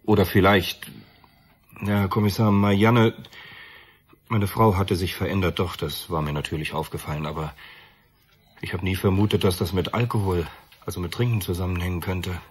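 An actor playing a bereaved, defensive middle-aged father, measured and earnest, in a radio drama. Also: low-pitched, steady tone, slightly rough. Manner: measured, earnest, self-justifying. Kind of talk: German radio drama acting.

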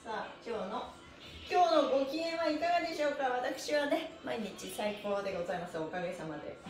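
A middle-aged woman hosting a livestream stretching class, chatty and encouraging, with an energetic, sing-song way of instructing. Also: fairly high pitch, a little fast, soft background music. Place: small bare-walled indoor studio room. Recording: roomy echo, mic a little away.

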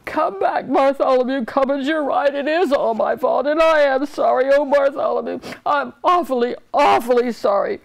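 A woman's voice: a little high, a little slow, creaky, rough recording. A woman voicing a proud, imperious old king in a storybook, given to blustering and groaning. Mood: tearful, apologetic. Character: proud bellowing old king turned tearful and helpless.

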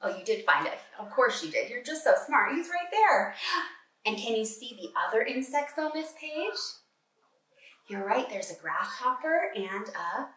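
A woman's voice: a little high, unhurried, distant mic. A middle-aged woman teaching preschoolers, reading a picture book aloud in an encouraging, exclaiming way with questions for young listeners. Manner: animated, encouraging, exclaiming and gasping, addresses small children. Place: indoor kitchen at home, at a table. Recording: echoey room, away from the mic.

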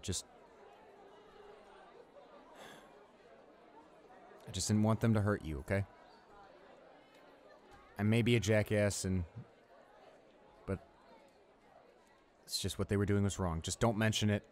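A young man playing a cocky school bully with a hidden soft spot in an audio roleplay, brash and halting as he talks. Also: sits low, a little hiss or hum. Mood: awkward, earnest. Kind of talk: dramatic audio roleplay, monologue.

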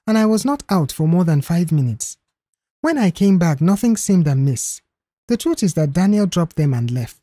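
A man's voice: a little high, mellow, no echo. A man voicing a young female office cashier in an audiobook, defensive and explanatory in manner. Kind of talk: audiobook character dialogue.

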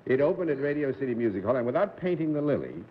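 A man's voice: mid-range voice, very animated, racing. A middle-aged man from New England, hosting a live panel game show and reminiscing genially with a guest. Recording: dull slightly noisy 1960s TV broadcast.

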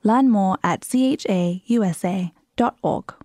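A woman's voice: medium pitch, measured pace. A woman announcer reading a scripted sponsor message.

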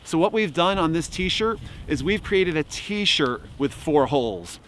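A man in his fifties giving a dry, mock-salesman comic product pitch outdoors in bare woods, close to the camera. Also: expressive, measured pace, fairly bright tone. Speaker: outdoor gear vlogger.

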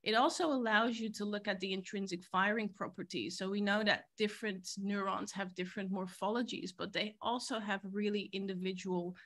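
A woman's voice: medium pitch, fairly even intonation, fairly quick, hardly any pauses, a bit bright. A woman, giving an earnest scientific keynote over a video call from a university boardroom. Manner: earnest, explanatory, with occasional fillers. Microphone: video call, laptop mic.

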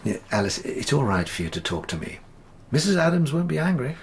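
A man playing a kindly, middle-aged English gentleman doctor in a radio drama, gentle and coaxing, with an English accent. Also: on the high side, sing-song, fairly quick, slight background noise. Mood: reassuring. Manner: gentle, coaxing, softly hesitant.

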